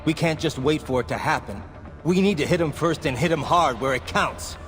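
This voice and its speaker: An actor playing a brooding, cynical cyborg mercenary in a video game, gruff and terse. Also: male voice, a little high, a little fast, a bit bright, close to an everyday voice. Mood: angry, determined. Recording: music behind.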